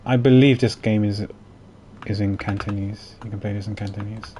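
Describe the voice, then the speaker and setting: A man streaming games in a lowish voice. He talks casually and haltingly, thinking out loud, with slight noise and typing in the background. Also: fairly even intonation. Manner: rambling, halting, thinking aloud. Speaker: game streamer. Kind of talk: casual livestream chat while playing. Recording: faint noise, keyboard typing.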